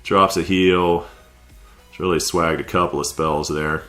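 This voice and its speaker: Man, very deep voice, stuffy from a cold, relaxed play-by-play, soft music behind. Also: measured pace. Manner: laid-back, conversational, with light chuckles. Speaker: clan war recap commentator. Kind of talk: casual video game play-by-play voice-over.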